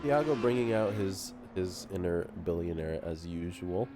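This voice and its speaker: A man's voice: low voice, animated, normal speed, over loud music. A nasal-sounding man with a cold, giggling often as he commentates a live sim race. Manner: giggly, laughs often, playful. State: has a cold.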